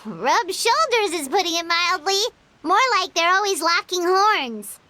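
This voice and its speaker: A woman's voice: extremely high pitch, animated, a little slow, bright, forceful tone, no echo, full character voice. A woman voicing a tiny, cheeky fairy companion in a video game, cartoonish, sassy and skeptical. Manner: sassy, skeptical, refers to self in third person.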